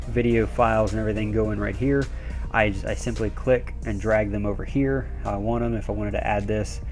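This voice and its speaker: A man's voice: lowish voice, a little mellow. A middle-aged man explaining things in a casual, conversational tutorial style, correcting himself as he goes. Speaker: presenter of a how-to video for a motorsports team.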